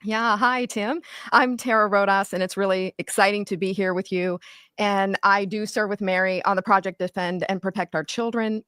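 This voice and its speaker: A woman speaks as a guest in an interview, telling her story in a fluent, steady way. Her pitch is in a middle range. The sound is dry and close. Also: no echo.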